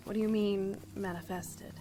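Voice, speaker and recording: A woman's voice: mid-range voice, fairly even intonation, normal speed, music in the background, lightly acted, rough recording. A woman playing an anxious, earnest young survivor in an audio drama, asking questions hesitantly but with resolve. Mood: stunned, hesitant. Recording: faint background noise.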